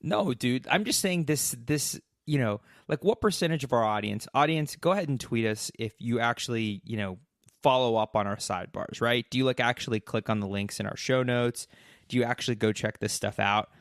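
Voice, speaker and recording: A man's voice: medium pitch, brisk, dry recording, clean studio sound. A man co-hosting a podcast in casual chat, rambling with lots of fillers. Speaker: podcast co-host.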